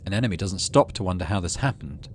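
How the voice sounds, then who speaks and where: A man with a fairly low voice hosts a video game let's play. He talks himself through the game and reads its text aloud. There is clear noise behind his voice. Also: expressive, fast talker. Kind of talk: gameplay commentary and reading game text aloud.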